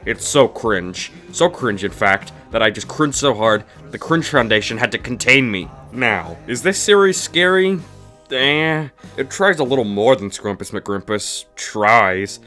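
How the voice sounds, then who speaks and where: A man's voice: fairly low pitch, bright, forceful tone. A man narrating a scripted comedic review in a snarky, dismissive, mock-exasperated way. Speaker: video reviewer, host of a review series.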